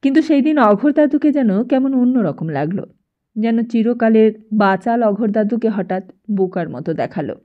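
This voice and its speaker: A woman reads a literary novel as an audiobook narrator. Her voice sits fairly high and she tells the story with expression. The recording is a bit dull and lo-fi. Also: expressive, moderate pace.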